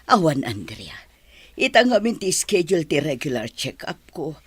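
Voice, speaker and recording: A woman's voice: low voice, very animated, normal speed, husky, no echo, heavily acted. An actress playing an emotional, kindly woman schoolteacher in a radio drama, with a Filipino accent. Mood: apologetic, reassuring.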